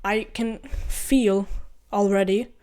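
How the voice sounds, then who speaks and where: A man's voice: sits high, fairly even intonation, slow pace, a little mellow, smooth. Earnest young man, Ukrainian-Norwegian accent. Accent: mixed Ukrainian and Norwegian. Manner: earnest, rambling, self-correcting, repeats himself. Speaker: vlogger. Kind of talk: casual advice vlog.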